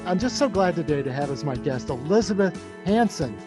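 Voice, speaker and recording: Higher-pitched man hosting a podcast. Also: lively intonation, fairly quick, music in the background. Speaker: podcast host.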